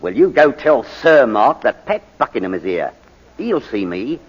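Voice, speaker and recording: An actor playing a sly, down-at-heel ex-soldier in a 1940s radio drama, insinuating and falsely deferential. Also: male voice, medium pitch, sing-song, measured pace, slightly rough. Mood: sly, insistent. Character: sly, rough-clad ex-soldier angling for money. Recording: muffled, reverberant 1940s radio recording.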